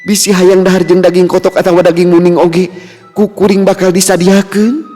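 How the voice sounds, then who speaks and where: A man's voice: sits high, level tone, brisk, dark tone, voice pushed up. A man voicing a gracious teenage noblewoman in a folk tale, assured and obliging in manner. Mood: reassuring. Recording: long reverb, music bed.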